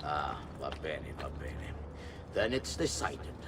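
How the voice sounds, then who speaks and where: An actor playing a menacing, imperious older Italian conspiracy leader with an Italian accent in a video game. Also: male voice, extremely low pitch, big pitch swings, very noisy, exaggerated voice. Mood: cold, commanding. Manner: imperious, deliberate, threatening. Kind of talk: dramatic video game acting.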